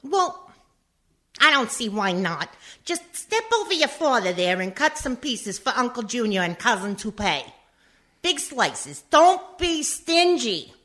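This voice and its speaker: A woman's voice: a little high, very animated, unhurried, fairly bright tone, exaggerated voice. A woman acting a brash, sarcastic mobster's wife and mother in a comedic radio play, with a blunt, scolding manner. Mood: nonchalant.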